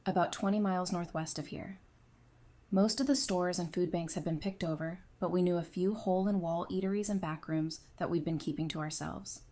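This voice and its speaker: Mellow, composed female survivor played by an actress. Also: lowish voice, lightly acted. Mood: controlled grief. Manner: measured, earnest. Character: composed, resolute widowed woman, apocalypse survivor. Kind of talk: audio drama acting.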